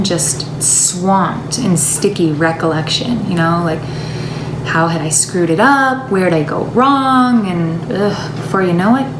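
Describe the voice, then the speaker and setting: A woman's voice: mid-range voice, lightly acted. Woman performing a chatty self-deluding woman, confessional, emphatic stage monologue, echoey room, background noise. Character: chatty, wistful, self-deluding woman confiding in her sister.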